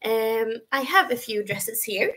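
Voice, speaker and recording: A young woman from Sweden with a Swedish accent and a high, feminine voice, chatting casually with viewers on a webcam livestream from her bedroom, full of fillers and restarts. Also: animated, slow pace, fairly bright tone. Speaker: livestream host. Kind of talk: casual live chat answering viewers. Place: bedroom at home, indoors.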